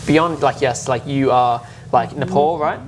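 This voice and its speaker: A young Australian man hosting a casual spiritual chat, full of "like" and quick asides. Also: mid-range voice, a little hiss or hum. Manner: fast, filler-heavy talk with many "like"s. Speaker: podcast host. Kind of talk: casual conversation.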